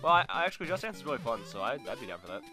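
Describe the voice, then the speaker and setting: A young man co-hosting a casual video game chat, talking quickly with lots of restarts. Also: music in the background. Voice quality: deep bass voice. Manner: fast, animated, stumbling restarts. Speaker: co-host.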